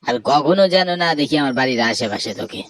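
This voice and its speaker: A man's voice: medium pitch, expressive, quick pace, a bit bright, dry recording, soft background music, close to an everyday voice. A man voicing a greedy, cruel older brother in a Bengali horror cartoon, scornful and domineering. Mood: scornful, threatening.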